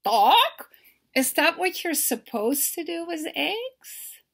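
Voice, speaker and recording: Woman voicing a loud, puzzled storybook hen. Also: very high voice, sing-song, slow pace, gravelly, pitched above the performer's own voice, exaggerated voice. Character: puzzled, stubborn female hen in a children's storybook.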